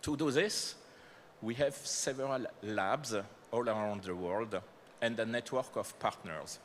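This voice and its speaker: A man's voice: medium pitch, unhurried, a little hiss or hum. A man with a French accent giving a conference talk, speaking with frequent fillers and self-corrections. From France. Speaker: corporate speaker presenting startup programs. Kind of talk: conference presentation. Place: conference hall.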